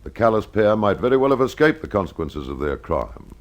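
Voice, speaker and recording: Low male voice, old-time radio storyteller, scripted detective narration, measured and dramatic, addresses the listener. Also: big pitch swings. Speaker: host and narrator of a mystery programme.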